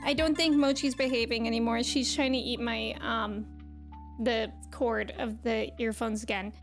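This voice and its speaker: A woman's voice: a little high, fairly even intonation, moderate pace, vocal fry, music in the background. A woman hosting a livestream, chatting casually and informally as she greets her viewers, with a giggly manner. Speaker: live game streamer hosting.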